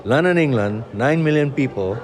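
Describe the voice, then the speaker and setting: A man's voice: mid-range voice, expressive, moderate pace. A man in his seventies from Tanzania, an accountant, telling chatty, self-mocking anecdotes. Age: 70s. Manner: chatty, jokey, laughs at himself. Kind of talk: casual anecdotes. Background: crowd chatter.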